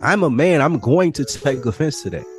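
Animated man co-hosting a podcast chat. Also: on the high side, normal speed, soft background music. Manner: animated, rising sharply when worked up. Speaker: podcast co-host.

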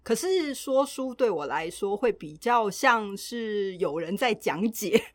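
A woman's voice: medium pitch, animated, measured pace, dry recording, studio quality. A woman with a Taiwanese Mandarin accent, chatting casually as a podcast co-host. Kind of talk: casual chat.

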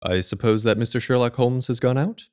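A man playing an earnest, courteous Victorian gentleman, a detective's loyal companion, in an audio drama, with an eager, polite manner. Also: medium pitch, brisk, smooth, natural voice. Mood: concerned.

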